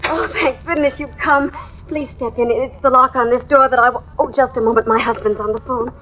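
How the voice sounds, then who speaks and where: An actress as a terrified housewife in an old radio thriller, her voice very high and tearful. She speaks in halting, breathless pleas, full of paranoid dread. The recording is old and muffled. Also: steady tone, slight background noise, close to an everyday voice. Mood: shaky relief. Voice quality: shrill, tearful. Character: frantic, paranoid young housewife, terrified of a killer. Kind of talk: 1950s radio horror melodrama acting.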